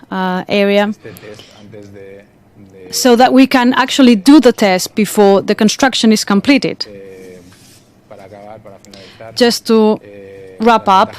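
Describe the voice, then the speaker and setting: A speaker wrapping up a conference presentation in English, deliberate and hesitant with frequent fillers. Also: medium pitch.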